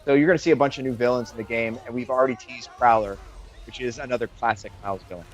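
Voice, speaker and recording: A man, a game developer, explaining his game in a clear, articulate way during an interview. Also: medium pitch, fairly even intonation, quick pace. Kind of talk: interview answers.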